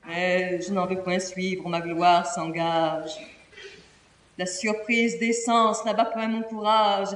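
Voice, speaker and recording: Proud lovesick princess, slow solemn tragic verse, roomy echoey stage sound. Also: female voice, on the high side. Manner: solemn, impassioned, theatrical declamation. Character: proud, lovesick young Castilian princess, anguished and noble. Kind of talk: declaimed classical French verse stage tragedy. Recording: roomy, distant theatrical studio recording.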